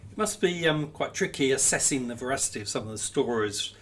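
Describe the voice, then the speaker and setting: A man's voice: medium pitch, lively intonation, brisk, fairly bright tone, slight background noise. A man interviewer putting questions conversationally in a literary interview.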